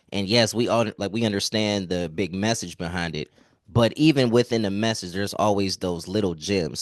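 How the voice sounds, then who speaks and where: A man with a fairly low voice conducts a conversational entertainment interview. He is encouraging and full of compliments for his guests. The sound is dry, with very little room around him. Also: dry recording.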